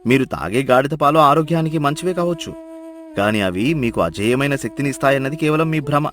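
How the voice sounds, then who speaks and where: A man voicing a clever, humble donkey herder who speaks softly and persuasively in a Telugu moral story. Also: mid-range voice, lively intonation, natural voice. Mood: wise, gentle. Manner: soft, measured, gently persuasive.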